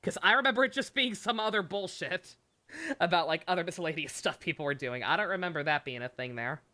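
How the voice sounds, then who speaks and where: Lady streamer riffing over a game, lowish bright voice, sarcastic and sweary. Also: fast talker. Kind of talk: casual, joking live gameplay commentary.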